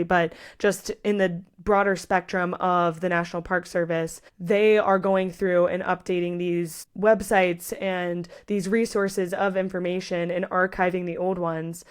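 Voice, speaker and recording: A woman's voice: fairly low pitch, clean tone. A woman hosting a podcast, asking long, thoughtful, rambling questions in a casual interview. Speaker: podcast host.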